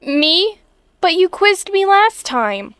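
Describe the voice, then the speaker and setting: A woman plays a spirited teenage girl in a comic dub. Her high voice is recorded very dry, and she comes across earnest, eager and a little defiant. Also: big pitch swings, takes it slowly, a bit bright, no room sound, exaggerated voice. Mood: uneasy. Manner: earnest, emotive. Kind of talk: earnest fandub acting.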